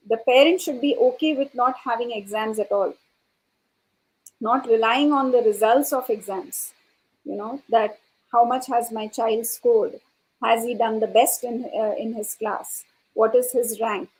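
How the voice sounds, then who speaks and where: A woman speaking as an educator on a panel, explaining her views in a halting, thoughtful way and often repeating words. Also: fairly high pitch, darkish tone, poor audio. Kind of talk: panel discussion answer, explanatory.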